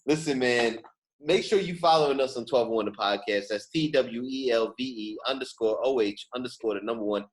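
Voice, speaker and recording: An American man speaking as a podcast host, with a mid-pitched voice. His talk is casual and slangy, and he drives points home emphatically by saying them again. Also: brisk, rough recording. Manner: emphatic, repeats phrases, slangy. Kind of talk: casual chat, podcast sign-off.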